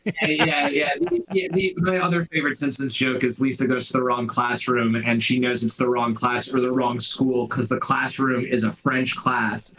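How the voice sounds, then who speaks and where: A man's voice: medium pitch, flat delivery, moderate pace, few pauses, bright, forceful tone, low-quality recording. A man playing in a tabletop game who rambles enthusiastically through a side story. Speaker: player. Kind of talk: casual chat.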